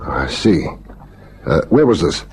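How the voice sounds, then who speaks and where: A methodical police lieutenant in an old radio crime drama. His questions are terse and businesslike, with a lively rise and fall, over a noisy old recording. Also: rumbling low, slow pace, full character voice. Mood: brisk, businesslike.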